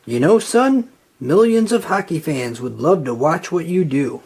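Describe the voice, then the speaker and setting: A storyteller voicing a flattering male sports agent with a chummy, persuasive manner in a children's storybook. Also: mid-range voice, a little slow, breathy edge. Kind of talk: children's storybook read-aloud.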